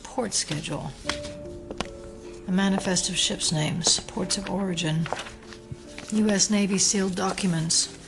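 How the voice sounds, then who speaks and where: An actress playing a wary, determined American FBI agent held captive in an audio drama. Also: female voice, lowish voice, fairly even intonation, unhurried, gravelly, pauses often, over loud music. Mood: wary, murmuring.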